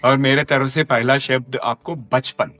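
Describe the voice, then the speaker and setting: A man's voice: mid-range voice, steady tone, unhurried, a bit bright, soft background music. Effusive male podcast host. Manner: effusive, respectful, addresses the guest formally. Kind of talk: interview hosting.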